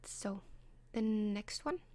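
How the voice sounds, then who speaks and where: A woman with an Icelandic accent, whispering gentle advice in an ASMR style, often pausing with soft ums. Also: medium pitch. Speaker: ASMR advice column host.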